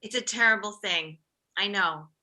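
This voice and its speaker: A woman's voice: mid-range voice, moderate pace, sharp tone. A woman playing a self-absorbed but well-meaning mother of forty-five in a comedy table read, emphatic and fussing. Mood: concerned.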